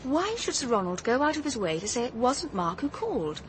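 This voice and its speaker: Earnest young detective. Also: female voice, a little high, big pitch swings, a little fast, background noise. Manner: earnest, thoughtful, literate narration. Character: earnest, observant, determined young female private detective. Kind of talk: radio drama dialogue and first-person narration.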